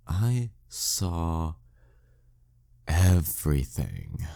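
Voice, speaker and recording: A man's voice: sits low, warm tone, exaggerated voice. A man playing a flirty, dominant demon king villain in an intimate audio roleplay, teasing and smug in manner. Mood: taunting, condescending. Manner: teasing, smug, slow deliberate delivery.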